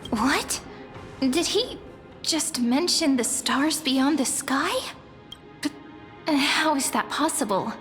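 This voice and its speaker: Young wary traveller, expressive, high voice, measured pace, faint music behind. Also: female voice, exaggerated voice. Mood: startled, puzzled. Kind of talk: video game voice acting. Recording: long hall reverb, faint music behind.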